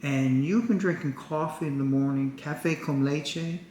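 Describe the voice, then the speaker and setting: A man's voice: a little high, moderate pace, dark tone. An older man from the United States, chatting casually at home with his wife and making folksy, chuckling asides. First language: English. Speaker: co-host. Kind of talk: casual couple chat. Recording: echoey room with faint background noise.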